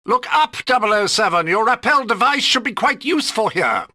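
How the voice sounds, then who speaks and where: An actor playing a fussy, exasperated older British gadget master in a video game, precise and testy. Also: male voice, high voice, animated, fairly quick, bright, forceful tone, exaggerated voice. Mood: instructive.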